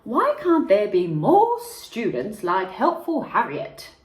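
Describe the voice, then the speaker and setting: Woman voicing an effusive, animated male schoolteacher. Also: medium pitch, slow, pitched above the performer's own voice. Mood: pleased, approving. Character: cheerful, approving male schoolteacher in a storybook. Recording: echoey room with faint background noise.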